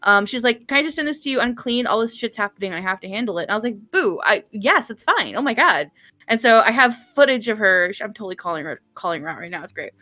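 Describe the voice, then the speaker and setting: A woman's voice: medium pitch, big pitch swings, fast talker, bright, forceful tone. A woman co-hosting a livestream, chatting casually in an emphatic, slangy way and often repeating herself for emphasis. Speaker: co-host on a visual novel livestream. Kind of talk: casual chat.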